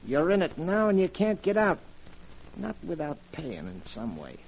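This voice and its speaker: A menacing 1940s radio gangster. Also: male voice, fairly high pitch, huge pitch swings, moderate pace, vocal fry, a little mellow, slightly rough, full character voice. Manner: tough, clipped street slang. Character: menacing male gangster blackmailer, cold and threatening. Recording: muffled 1940s radio transcription.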